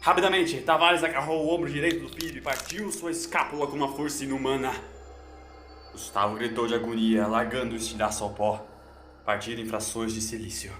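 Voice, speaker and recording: A man's voice: mid-range voice, fairly even intonation, brisk, a bit bright. Theatrical male narrator with heavy echo. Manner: theatrical, solemn. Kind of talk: scripted dramatic story narration. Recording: echoing voice over music.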